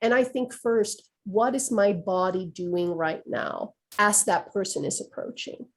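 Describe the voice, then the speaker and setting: A woman's voice: medium pitch, unhurried. A woman whose first language is Swedish, speaking thoughtfully on a video call webinar and often restarting as she searches for words. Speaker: presenter on trauma-informed practice. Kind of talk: webinar discussion.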